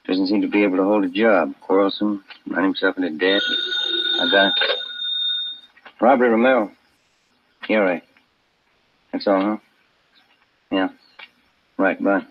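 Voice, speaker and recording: A man's voice: deep voice, steady tone, a little slow, pauses often. A man playing a businesslike American police detective partner, casual and laconic, in a 1950s radio drama. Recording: dull, noisy old radio recording.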